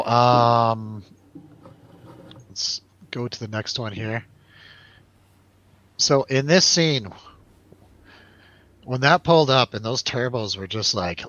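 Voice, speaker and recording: A man's voice: mid-range voice, bright, forceful tone, no room sound. Man chatting loosely, slightly dull dry sound. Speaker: host of a film review show. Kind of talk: casual chat, film review.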